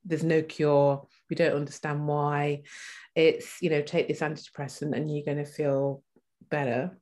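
A woman in her forties telling her personal story as an interview guest, reflective and halting as she pauses mid-thought. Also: sits low, flat delivery, darkish tone. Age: 40s.

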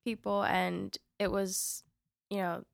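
Young woman in her 20s from queens, spanish is her first language, gravelly voice, chatty with lots of likes and ums, dry mic. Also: mid-range voice, slow pace, no room sound. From Queens, New York. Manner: relaxed, filler-heavy, laughing often. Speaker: guest. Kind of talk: casual interview chat.

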